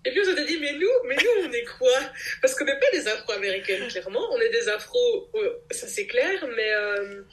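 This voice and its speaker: A woman from Belgium, talking casually as a podcast guest and telling her story in an animated, laughter-prone way. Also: fairly high pitch, moderate pace, slight background noise, low-quality recording. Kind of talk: casual conversational interview.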